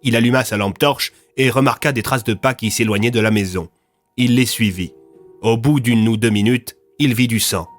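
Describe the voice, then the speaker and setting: A man's voice: mid-range voice, normal speed, faint music behind. A man narrating an audio fiction series in a scripted, dramatic storytelling style.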